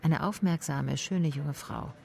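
A woman's voice: low-pitched, a little slow, vocal fry, darkish tone, heavily acted. Laconic female detective narrator. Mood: sober, laconic. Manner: laconic, clipped sentences, observant. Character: female private detective narrating her case, sober. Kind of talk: first-person radio drama narration.

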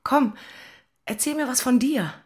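An actress playing a moody, emotional woman in her forties in a radio drama, with a husky voice. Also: high voice, unhurried. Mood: intimate, teasing. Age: 40s. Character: emotional, moody single woman in love affair. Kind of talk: German radio drama dialogue.

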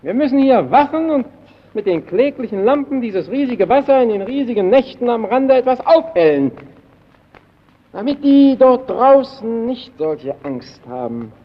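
An actor in a German radio play playing a solitary lighthouse keeper haunted by the sea, speaking haltingly and intensely, swelling and sinking to a near murmur. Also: male voice, very high-pitched, sing-song, muffled recording, heavily acted. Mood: intense, urgent.

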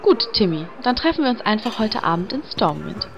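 A woman's voice: medium pitch, big pitch swings, brisk, over music. A woman playing a dry, sarcastic, practical heroine in a fantasy parody radio play, on a dull, noisy recording. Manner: sardonic. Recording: dull sound, clear background noise.

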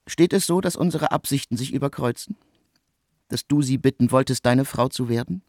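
A male actor plays a formal, probing man in a German radio play. His voice is husky and close in a dry studio. He sets out each phrase deliberately, with cool irony. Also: mid-range voice, darkish tone, no room sound, close to an everyday voice. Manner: deliberate, ornate, pointed, ironic diction. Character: cool, probing, formal man asking a friend to woo for him.

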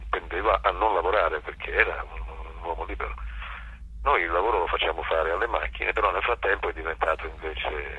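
A man with a cold gives a thoughtful, halting explanation as a radio guest. His voice is very deep with a sharp, forceful edge, and there is slight hum on the line. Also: lo-fi sound. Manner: reflective, halting, reformulating. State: has a cold. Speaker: author and actor guest. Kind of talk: spontaneous interview explanation.

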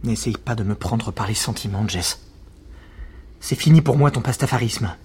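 An actor playing a weary, earnest ex-trader in an audio drama, with a melodramatic manner. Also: male voice, on the low side, expressive, normal speed, a little mellow, a little hiss or hum. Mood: defiant. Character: weary, dramatic male ex-trader quitting a pasta cult. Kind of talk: podcast audio drama acting.

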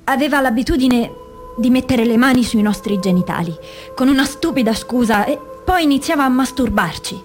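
A woman's voice: high voice, lively intonation, normal speed, soft background music. A woman reading scripted reports and testimony for a documentary radio play. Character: victims and witnesses recounting abuse by priests.

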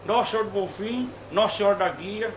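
A folksy, teasing spirit from Bahia , voiced by a man, talks in a high, fast voice with a Brazilian accent. He is playful and colloquial, hammering short phrases home. The amateur recording is noisy and full of room echo. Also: close to an everyday voice. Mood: chatty, proud. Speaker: spirit medium answering questions. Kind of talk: informal spiritual dialogue. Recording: roomy, echoing, clearly noisy amateur recording.

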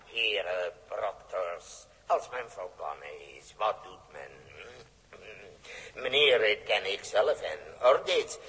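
Sly, grandiose warlord in a hall. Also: male voice, lowish voice, very animated, takes it slowly, bright, forceful tone, exaggerated voice. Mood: brooding, bitter. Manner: theatrical, mock-polite, hemming and repeating himself. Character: sly, grandiose exiled warlord, cunning galactic game player. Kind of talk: 1960s radio drama acting. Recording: old radio recording in reverberant hall.

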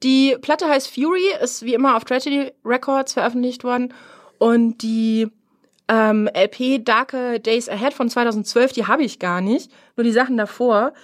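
A woman with a cold, sounding stuffy, hosting a radio show in a relaxed chatty way with frequent hesitations. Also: fairly high pitch, moderate pace. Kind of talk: casual radio chat.

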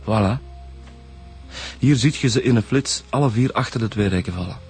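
Easygoing, slightly low-voiced detective, played by a man. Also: normal speed, a little mellow, soft background music, natural voice. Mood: casual, slightly defensive. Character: easygoing male police inspector, junior partner. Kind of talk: radio drama acting.

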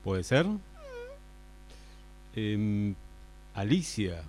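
A man with an Argentine accent playing a forgetful, exasperated office boss in a radio play, speaking haltingly and repeating himself. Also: lowish voice, animated, darkish tone, slight background noise. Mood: formal, fumbling. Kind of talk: improvised radio play acting.

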